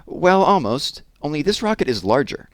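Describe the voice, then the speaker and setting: An earnest, misunderstood scientist from a storybook, put on by a man reading aloud. He explains things carefully and patiently, often pitched up from the reader's normal voice. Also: very animated, normal speed, no echo, studio quality. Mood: explaining. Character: earnest, misunderstood rocket professor.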